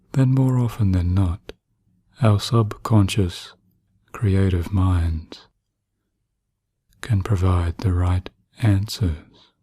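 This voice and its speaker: A man narrating guided sleep hypnosis in a soothing, hushed manner with long pauses. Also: low-pitched, no echo, clean studio sound.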